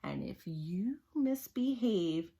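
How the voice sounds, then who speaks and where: A woman's voice: on the low side, big pitch swings, creaky, warm tone, slightly rough, exaggerated voice. A woman voicing a mean, strict substitute teacher from a storybook, in a hissing, commanding, unpleasant voice. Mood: stern, snapping. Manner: slow, snapping, commanding.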